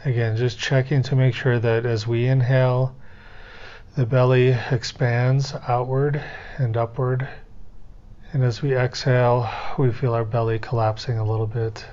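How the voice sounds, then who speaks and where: A man's voice: mid-range voice, level tone. Man guiding a meditation, slightly muffled recording. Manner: gentle, unhurried step-by-step coaching with pauses. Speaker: guided meditation teacher. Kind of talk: guided meditation instruction.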